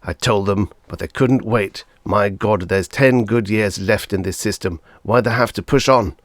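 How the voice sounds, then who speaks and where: A male audiobook reader voicing an angry, bitter, hawkish father with savage, forceful outbursts. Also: fairly low pitch, measured pace, no room sound, lightly acted. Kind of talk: audiobook character voice.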